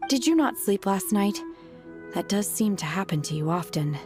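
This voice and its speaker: A woman voicing a calm, detached young woman disciple who speaks in a cool, matter-of-fact way. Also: mid-range voice, moderate pace, music in the background, natural voice.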